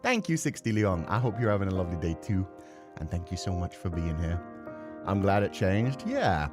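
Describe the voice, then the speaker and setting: A man livestreaming a horror game, chatting casually and excitably and swearing freely. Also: deep voice, normal speed, over music. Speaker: game streamer.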